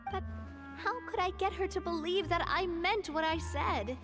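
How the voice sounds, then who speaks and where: High-voiced spirited young woman. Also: normal speed, over music. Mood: animated, earnest. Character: spirited young woman boss, friendly and earnest. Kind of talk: video game voice acting.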